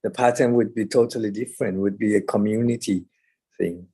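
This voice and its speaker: A man from Iran with a low, dark and relaxed voice. He is commenting in an online group discussion and talks haltingly, often searching for the next word. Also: breathy edge.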